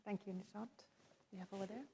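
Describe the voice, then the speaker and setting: A woman's voice: medium pitch, steady tone, quick pace, vocal fry, dark tone, breathy edge, poor audio. A woman moderating a press conference, calling on people briefly and politely.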